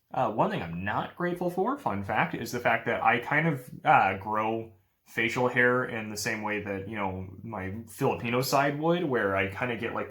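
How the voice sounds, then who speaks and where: Young man, casual vlog chat, upbeat, rambling, lots of fillers, medium pitch. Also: a bit bright. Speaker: real estate agent vlogger. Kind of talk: casual talking-head vlog.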